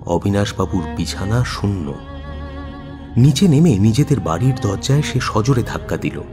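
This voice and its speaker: Low-voiced, animated male story narrator. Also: moderate pace. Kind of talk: dramatic scripted story narration. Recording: long studio reverb, music bed. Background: faint music.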